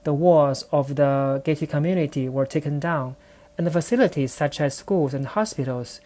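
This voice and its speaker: Low, mellow-voiced Chinese woman reflecting. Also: moderate pace. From China. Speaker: podcast host. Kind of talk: personal storytelling monologue.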